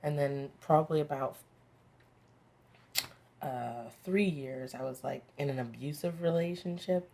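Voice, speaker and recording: Casting note: female musician guest, mid-30s, lowish voice, open and chatty, quick to laugh. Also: creaky, mellow, slight background noise. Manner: candid, laughs easily, chatty with fillers. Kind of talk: casual interview chat.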